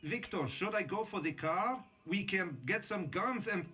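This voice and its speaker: An actor playing an eager, urgent villager ready to join a resistance, in dramatic video game dialogue. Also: male voice, high voice, animated, unhurried, pushed and bright, slightly rough, heavily acted. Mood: resolved.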